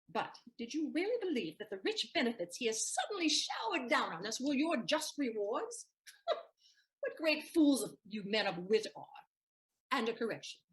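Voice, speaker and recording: Woman voicing a clever teasing young maid, high bright pitch, very lively intonation. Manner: teasing, sharp-witted, mocking. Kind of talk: stage audio play acting.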